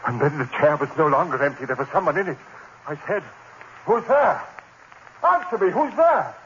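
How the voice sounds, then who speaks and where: A tormented narrator in an old radio horror play. His forceful voice swings wildly between brooding and frenzied dread, melodramatic throughout, on a muffled, noisy old recording. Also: on the high side, lively intonation, moderate pace. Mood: anxious. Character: tormented, haunted condemned man, frantic with dread. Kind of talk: old-time radio horror acting, first-person narration.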